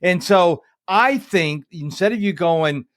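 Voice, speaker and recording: A man's voice: sits high, animated, slow, a bit bright, no echo, studio quality. A man, hosting a podcast interview in an enthusiastic, motivational way. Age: 50s. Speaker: podcast host. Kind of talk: casual interview conversation.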